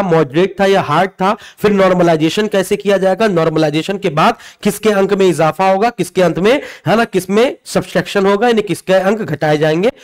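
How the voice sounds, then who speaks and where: A man giving an informal, explanatory lesson to students as a coaching teacher, emphatic and repetitive, often checking in with tag questions. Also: high voice. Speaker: exam coaching teacher presenting a video.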